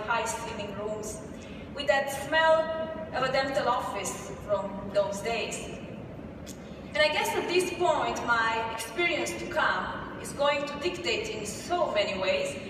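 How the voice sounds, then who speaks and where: A woman's voice: on the high side, background noise. A woman from Romania giving a reflective, story-led talk on a conference stage. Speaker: conference speaker. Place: large conference hall. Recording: echoey hall from far off.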